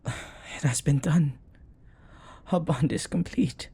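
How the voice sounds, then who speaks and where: A man voicing a flirty, devoted demon in an intimate romantic audio roleplay, speaking formally and reverently in a husky voice that often drops to a whisper. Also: on the high side, warm tone, full character voice. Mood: devoted, adoring. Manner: slow, formal, reverent phrasing. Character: jealous, flirty, devoted male demon bound to master.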